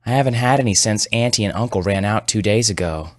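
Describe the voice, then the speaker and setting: A man's voice: fairly low pitch, quick pace, no echo, studio quality, lightly acted. A man voicing a sullen, lonely young boy with a petulant, childlike manner in a horror story. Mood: childlike, flat. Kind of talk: horror audio storybook character.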